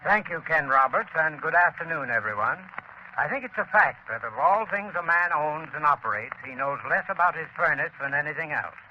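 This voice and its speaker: A man's voice: a little high, animated, brisk. A man reading a scripted radio advertisement as sponsor spokesman in a friendly, advisory manner. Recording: dull old radio recording with hiss.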